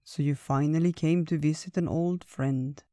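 A man voicing a kindly, wise old male bear who speaks gently and without hurry. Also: on the high side, fairly even intonation, no room sound, studio quality, lightly acted. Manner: gentle, unhurried, storytelling.

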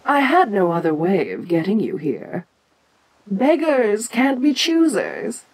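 A woman playing an ancient, domineering giantess kaiju queen in an audio roleplay, imperious and teasing yet protective, speaking with deliberate pauses. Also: mid-range voice, huge pitch swings, darkish tone, slightly rough, full character voice, rough recording. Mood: reassuring, amused. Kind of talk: second-person audio roleplay acting.

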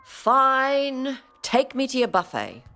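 An older actress playing a gruff, reluctant retired space adventurer with a brisk, sardonic manner in a comedy audio drama. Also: female voice, sits high, very animated, bright, forceful tone, faint music behind, full character voice. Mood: dry, impatient.

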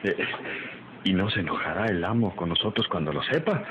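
An actor playing a humble, gruff country manservant in an old radio drama, talking in a folksy, colloquial way. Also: male voice, low voice, lively intonation, fairly quick. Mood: deferential. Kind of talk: old Latin American radio drama acting. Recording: very noisy, muffled old radio serial.

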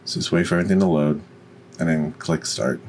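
A man with a deep voice giving an unscripted, step-by-step software tutorial. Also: brisk, a little hiss or hum. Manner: instructional, step by step. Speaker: tutorial presenter.

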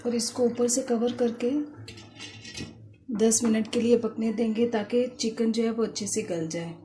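A woman hosting a cooking video, giving calm step-by-step instructions and softly murmuring a blessing before each ingredient goes in. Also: fairly high pitch, a little slow. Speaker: cooking channel host. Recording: noisy kitchen recording with sizzling. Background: frying and sizzling in the pan.

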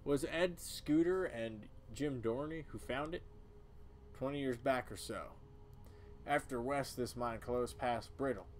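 A grim old cloaked man acted out by a male game player speaks in a folksy, halting way. The delivery is slow and at a middling pitch, with faint noise behind it. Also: lightly acted. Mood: grave, ominous. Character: grim rustic cloaked old cult spokesman.

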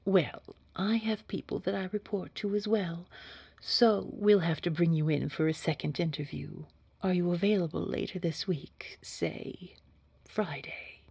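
A woman playing a poised, sinister boss in an audio roleplay, speaking formally in a hushed, husky, measured and quietly controlling way. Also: on the low side, steady tone, dry recording, heavily acted. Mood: composed. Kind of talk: scripted audio roleplay acting.

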